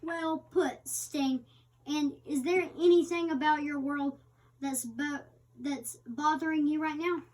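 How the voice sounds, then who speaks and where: A woman reading the interviewer's part of a magazine interview aloud, somewhat haltingly with stumbles. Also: high-pitched, fairly even intonation, a little mellow. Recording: distant microphone.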